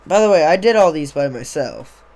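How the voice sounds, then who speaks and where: A young man, slightly hoarse, chatting casually on a live stream into a desk mic on a boom arm, rambling with many fillers. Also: animated, moderate pace, a little mellow. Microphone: desktop USB mic on a boom arm.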